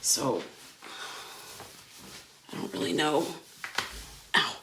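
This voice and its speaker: Sleepless, anxious young woman, audio drama diary. Low register, naturalistic, hesitant and rambling delivery. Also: sing-song, very slow, noisy background, heavily acted. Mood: shaken, rambling. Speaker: lead actor in a fiction podcast.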